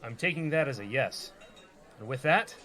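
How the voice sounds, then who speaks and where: An actor playing a reluctant, gruff rogue leader in a comedic fantasy radio play, with a dry, businesslike manner. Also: male voice, medium pitch, expressive, moderate pace, sharp tone, a faint crowd. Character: gruff, reluctant male rogue leading an adventuring party.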